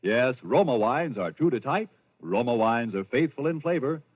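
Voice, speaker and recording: A man's voice: medium pitch, big pitch swings, normal speed. A male commercial announcer reading a 1940s radio sponsor advertisement with a polished, emphatic sales delivery. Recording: dull vintage radio broadcast recording.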